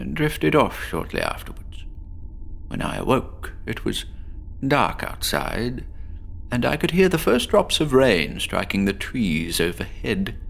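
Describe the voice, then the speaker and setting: Guy doing a chatty nervy male patient in a horror audio play, voice swoops around, bit of fry. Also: mid-range voice, a bit bright, full character voice. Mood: relishing, eerie. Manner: theatrical, rambling, with nervous chuckles. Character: chatty, nervously jovial male psychiatric patient obsessed with apples. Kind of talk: dramatic monologue in a horror audio drama.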